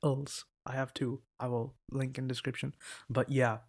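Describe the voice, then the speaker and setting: A man's voice: medium pitch, moderate pace. Rambling teen guy vlogging at a desk mic. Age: teens. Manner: rambling, self-interrupting, sweary, relaxed. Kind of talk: casual rambling vlog. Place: indoors at a laptop.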